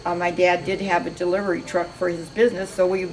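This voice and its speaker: A woman's voice: fairly low pitch, little pitch movement, quick pace, darkish tone, a little hiss or hum, low-quality recording. An older woman from Seattle being interviewed, reminiscing in a chatty, meandering way with frequent restarts. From Seattle, USA.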